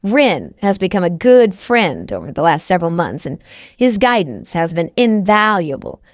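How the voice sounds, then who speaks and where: A woman's voice: on the low side, sing-song, moderate pace, dry recording, clean studio sound. A woman reading a first-person novel aloud as an audiobook narrator.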